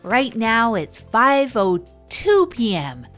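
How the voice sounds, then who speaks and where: A woman's voice: a little high, very animated, slow, music in the background. A woman playing a hapless, earnest evening radio host in an improvised comedy audio drama, rambling and correcting herself as she goes. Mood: upbeat, rambling.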